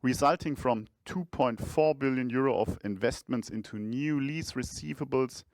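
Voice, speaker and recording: Male CFO, mid-range voice, formal measured scripted delivery for an earnings call, dry recording. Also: mid-range voice, dry recording. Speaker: chief financial officer presenting results.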